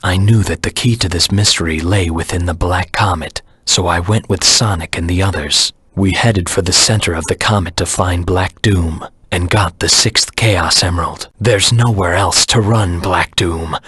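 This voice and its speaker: Dark video game antihero, really husky low voice, cold, determined, terse. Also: male voice, a little slow, no room sound, studio quality, exaggerated voice. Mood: cold defiance. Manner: grave, terse, menacing. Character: brooding, defiant adult male antihero creature, cold and determined. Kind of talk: dramatic game voice acting.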